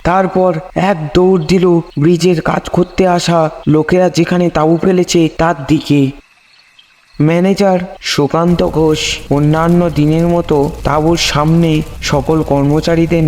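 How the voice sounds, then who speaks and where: A man with a fairly high voice narrates a scripted horror tale. His delivery is that of a storyteller, and long reverb trails each phrase. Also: a little mellow.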